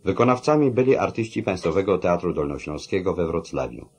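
A male radio announcer reads credits in a formal style. His voice is low, with a husky, slightly rough grain. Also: sing-song, fairly quick, darkish tone.

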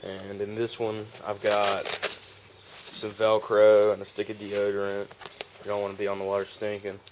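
A man's voice: lowish voice, completely flat delivery, unhurried, background noise. A young man, casually showing his fishing gear in a rambling, laid-back vlog on a porch, recorded on a handheld camera. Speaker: fishing vlogger. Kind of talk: casual show-and-tell vlog. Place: outdoor wooden porch.

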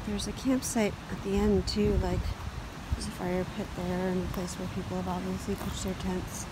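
A middle-aged woman talks casually into a handheld phone outdoors, sounding tired. She thinks aloud in short, unhurried phrases, and a loud wash of rushing water and outdoor noise runs through the recording. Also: on the low side, level tone. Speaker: solo wilderness canoe camper vlogger. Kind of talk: casual spoken-to-camera trail vlog. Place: outdoors in forest and on river.

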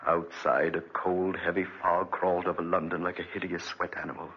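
A man's voice: sits low, level tone, fairly quick, breathy edge. An actor playing a guilt-ridden, bitter Englishman with a brooding, dramatic delivery in a 1940s radio drama. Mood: brooding, haunted. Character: haunted, guilt-ridden Englishman, bitter former debtor prisoner. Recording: dull restored 1940s radio recording.

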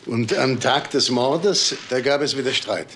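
A sober detective in a crime radio play. He questions pointedly in a mid-pitched voice. The room is echoey, with clear noise behind. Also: measured pace, a bit bright. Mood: grave. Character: sober, probing male police detective.

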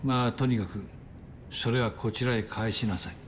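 An actor playing a composed middle-aged yakuza boss in a dramatic game scene, measured, grave and authoritative. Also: male voice, mid-range voice, fairly even intonation, background noise, lightly acted. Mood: stern, grave. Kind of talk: dramatic video game acting in Japanese. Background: music.

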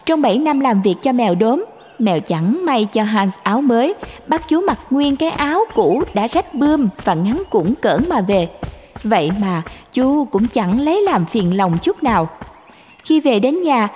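A woman reading scripted narration for an animated fairy tale. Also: fairly high pitch, normal speed, slight background noise.

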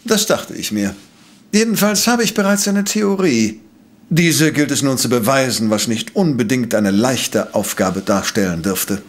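An actor playing a shrewd, self-assured professor who investigates crimes in a German audio drama, with formal, elaborate phrasing. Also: male voice, medium pitch, lively intonation, a little slow, lightly acted. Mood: confident. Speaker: detective professor.

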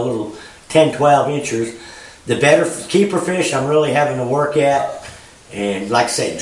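A man in his fifties with a Missouri Ozarks accent, giving a casual, rambling fishing report full of "you know" and "uh". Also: a little high. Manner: plain-spoken, rambling, frequent "you know" and "uh". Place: garage with a bass boat, indoors. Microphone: far camera. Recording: roomy garage echo, distant mic.

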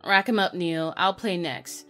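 A woman's voice: fairly low pitch, measured pace, a bit bright, faint music behind. An actress playing a sassy, no-nonsense American woman running a Prohibition-era club in an audio drama. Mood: teasing.

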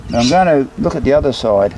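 Mellow man amid faint bee buzz. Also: medium pitch, animated, fast, poor audio. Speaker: beekeeper presenting a hive inspection. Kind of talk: casual explanatory vlog narration. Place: outdoors beside a beehive and brick house. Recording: clear buzzing insect noise behind. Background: buzzing bees.

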